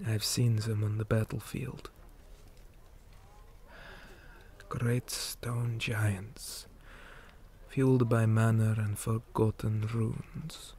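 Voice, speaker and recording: Man playing a low, slow, weary orc commander. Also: a little hiss or hum. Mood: grave, weary. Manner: slow, deliberate, hushed, often dropping to a whisper. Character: weary male orc commander, stern, measured, dutiful soldier. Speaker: interrogator in a roleplay. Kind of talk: ASMR roleplay acting.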